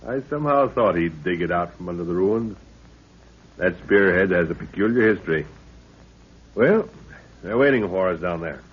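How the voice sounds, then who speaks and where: A commanding, avuncular professor of middle age for a vintage jungle radio serial. His voice is low and husky, with a brisk, hearty manner. The old recording sounds dull. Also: lively intonation. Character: brisk, fatherly professor leading a jungle expedition. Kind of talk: 1930s radio drama acting. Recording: muffled 1930s radio recording.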